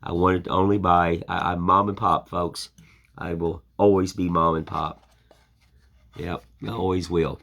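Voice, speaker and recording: A man's voice: low-pitched, little pitch movement, measured pace, fairly bright tone. An older American man with a folksy, plainspoken way of talking, rambling in a casual chat while he shows his woodcarving. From United States. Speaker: woodcarving channel host.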